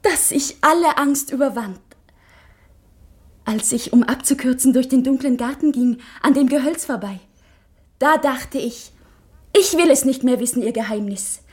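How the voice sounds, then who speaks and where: A woman playing an anxious, intense schoolgirl confiding in her mother in a literary radio play, with earnest, halting delivery. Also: high voice, darkish tone, slightly rough.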